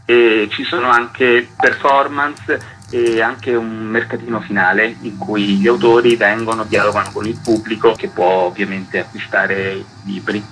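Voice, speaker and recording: A man's voice: mid-range voice, pushed and bright, poor audio. A man speaking as a guest artist in a radio interview, explaining his work in detail. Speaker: guest comic book artist and author.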